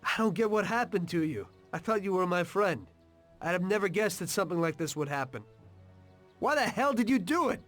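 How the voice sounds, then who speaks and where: An actor playing a loyal, plain-spoken American gangster in a video game, terse and tough. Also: male voice, high-pitched, lively intonation, brisk, a bit bright. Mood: troubled, confronting. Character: loyal young mob enforcer, dutiful, plain-spoken tough. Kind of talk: dramatic video game voice acting. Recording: music behind the voice. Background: background music.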